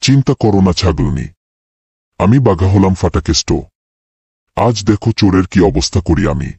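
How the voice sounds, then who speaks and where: A man voicing a boastful, brave goat with a rough husky voice and a swaggering manner in a comedy cartoon. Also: low voice, moderate pace, darkish tone, dry recording, heavily acted. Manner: bragging, swaggering. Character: boastful brave male goat, village tough guy. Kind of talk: cartoon voice acting.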